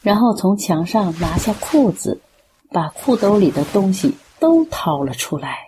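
A woman's voice: mid-range voice, very animated, measured pace, mellow, a little hiss or hum. A woman narrating a scripted radio drama as storyteller.

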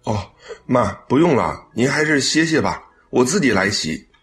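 A man's voice: mid-range voice, sing-song, normal speed, a bit bright, soft background music. A man acting a devoted, caring young adult son in a Chinese radio drama, speaking earnestly and expressively. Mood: caring, reassuring.

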